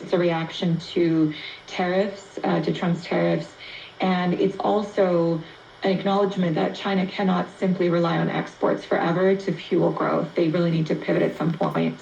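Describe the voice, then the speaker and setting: A woman's voice: fairly low pitch, gravelly, darkish tone, roomy sound, far from the mic, lo-fi sound, slight background noise. A woman reporter explaining in a radio interview, heard through an AM receiver playing in a room. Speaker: economics reporter. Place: played through a shortwave receiver speaker.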